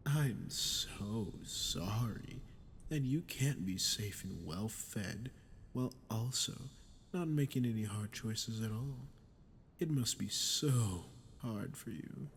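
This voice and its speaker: Sadistic male demon, acted by a man, deep gravelly voice, unhurried, mocking, condescending, ASMR audio roleplay. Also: expressive, slight background noise. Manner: mocking, condescending, slow taunting delivery with breathy drops. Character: sadistic, smug male archdemon toying with a captive ruler. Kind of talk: ASMR audio roleplay, dramatic monologue to the listener.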